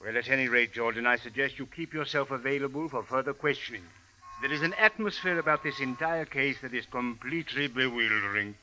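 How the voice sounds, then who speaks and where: An actor playing a stern, skeptical police captain in an old radio drama, with formal, deliberate, clipped phrasing. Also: male voice, medium pitch, very noisy, lightly acted. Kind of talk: vintage radio drama acting. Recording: vintage radio recording.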